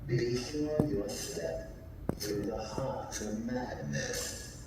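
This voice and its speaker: A man performing an ominous creature that lures listeners toward madness in slow, theatrical singing. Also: medium pitch, animated. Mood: ominous, luring. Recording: distant, cavernous reverb, heavy noise.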